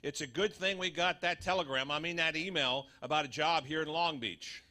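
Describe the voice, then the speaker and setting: An actor playing a chiding, folksy urban cowboy in a live radio comedy sketch. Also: male voice, on the high side, normal speed, sharp tone.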